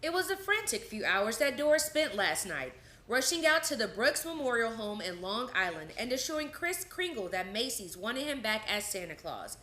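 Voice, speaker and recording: A woman's voice: on the high side, very animated, normal speed, sharp tone, reverberant, slight background noise. A woman narrating and announcing a radio play in a scripted style.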